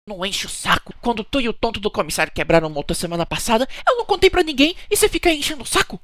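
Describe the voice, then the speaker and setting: A woman playing a touchy, evasive cruise hostess in an animated mystery, bickering and chatty, with a Brazilian accent. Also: fairly high pitch, very fast, a little hiss or hum. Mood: indignant. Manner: defensive, gossipy, bickering. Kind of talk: animated murder-mystery dialogue.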